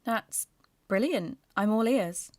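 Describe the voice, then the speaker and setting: A woman playing a curious, polite podcast host and investigator, speaking in a measured, everyday way as in a scripted audio drama. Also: mid-range voice, darkish tone, dry recording, natural voice. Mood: polite, interested. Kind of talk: scripted narration and staged interview.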